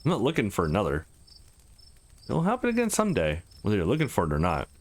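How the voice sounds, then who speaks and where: Man reading as a stern, low-voiced fighting mentor. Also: huge pitch swings, quick pace, exaggerated voice. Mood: grave, warning.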